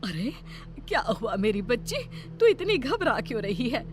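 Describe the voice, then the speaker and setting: A woman's voice: fairly high pitch, very animated, unhurried, slight background noise. A woman voicing a doting, anxious old village grandmother in a cartoon story, fussing affectionately and dramatically. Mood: soothing. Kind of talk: Hindi cartoon horror story acting.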